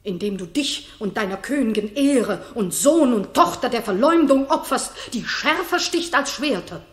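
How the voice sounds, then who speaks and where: A woman's voice: on the high side, expressive, normal speed, close to an everyday voice. An actress playing an outspoken, indignant lady-in-waiting in a bold, declamatory 1960s radio drama style. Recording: roomy 1960s radio studio recording.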